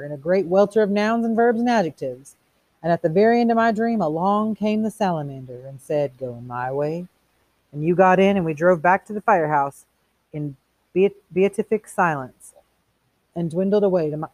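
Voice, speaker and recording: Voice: medium pitch, moderate pace, darkish tone, no room sound, lightly acted. A reader voicing a mocking, erudite male fire captain who declaims quotations theatrically in a storybook reading. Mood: gloating. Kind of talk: audiobook character reading.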